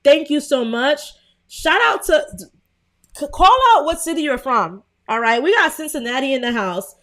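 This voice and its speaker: A woman's voice: high-pitched, lively intonation, normal speed, a bit bright. Chatty Brooklyn woman on a livestream. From Brooklyn, New York. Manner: chatty, many fillers, greets viewers. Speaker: livestream host. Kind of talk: casual live tutorial chat.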